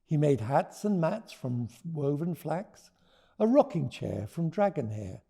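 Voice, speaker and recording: A man reading a children's storybook aloud and talking about it, with deliberate, expressive phrasing. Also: on the high side, creaky, breathy edge. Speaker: children's author reading his book aloud. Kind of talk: expressive storybook read-aloud with teaching notes.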